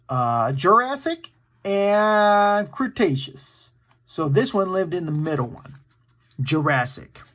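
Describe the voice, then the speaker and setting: A man's voice: sits high, a bit bright. A man reading a factual children's book aloud as storytime host, breaking into informal, encouraging asides to his young listeners. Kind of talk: read-aloud with casual asides to kids.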